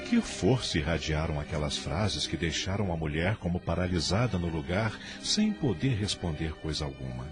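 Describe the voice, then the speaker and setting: A man's voice: sits low, animated, moderate pace, few pauses, over music. A man narrating a radio drama from a script in a formal, literary storytelling manner.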